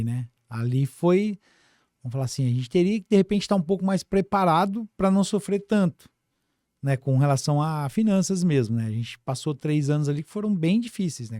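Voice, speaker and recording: A man's voice: fairly high pitch, dark tone, dry recording, clean studio sound. A Brazilian man speaking as a business guest on a casual podcast, colloquial and full of little tag questions. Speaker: podcast guest, financial services business director.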